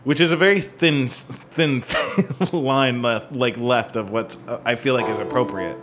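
A man co-hosting a music podcast, talking casually and analytically in a halting, rambling way with some swearing. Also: mid-range voice.

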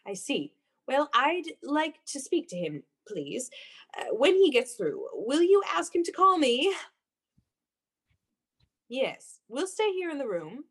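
Actress as a high-pitched, unhinged obsessive young woman. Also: very animated, slow, pauses often, heavily acted. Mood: shocked, anxious. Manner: theatrical, breathless, intense. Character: obsessive, unhinged young woman convinced of her dark power. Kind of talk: melodramatic old-time radio play acting.

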